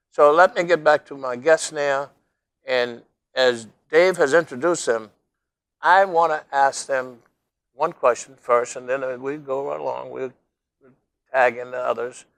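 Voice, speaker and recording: A man's voice: mid-range voice, measured pace, pauses often, fairly bright tone, dry recording. Older male host, folksy, rambling, speaks straight to viewers. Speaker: talk show host. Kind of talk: local cable interview.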